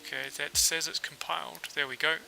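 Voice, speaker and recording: A man's voice: a little high, brisk, creaky, faint music behind, poor audio. A man from New Zealand with a New Zealand accent hosting a casual live coding stream, thinking aloud with plenty of fillers as he works. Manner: relaxed, thinks aloud with fillers while working. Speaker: developer livestream host.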